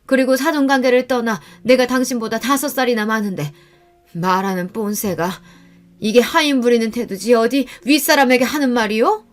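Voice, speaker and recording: A woman's voice: on the high side, moderate pace, soft background music, pitched above the performer's own voice, close to an everyday voice. A woman playing a feisty, outspoken older grandmother, blunt and scolding, in a radio story drama. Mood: angry, scolding.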